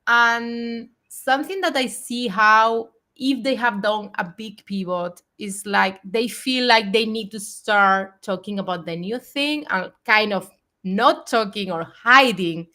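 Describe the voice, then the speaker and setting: A woman's voice: mid-range voice, slow pace, sharp tone. Reflective woman host in her forties. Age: 40s. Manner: reflective and earnest, with frequent fillers. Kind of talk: live video chat.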